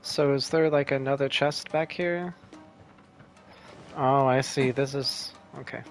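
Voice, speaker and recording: A man's voice: medium pitch, fairly even intonation, a little slow. Laid-back man muttering over gameplay. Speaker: gamer playing and commenting. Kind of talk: casual gameplay commentary. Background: game music.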